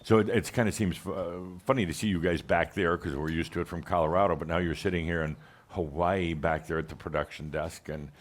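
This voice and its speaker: A man with a very low voice hosts a gathering in an island pavilion. He talks in an easygoing, chatty way, with plenty of fillers and chuckles. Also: fairly even intonation, a little fast. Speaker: host. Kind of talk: casual welcome talk to a live group. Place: open pavilion on a Hawaiian island.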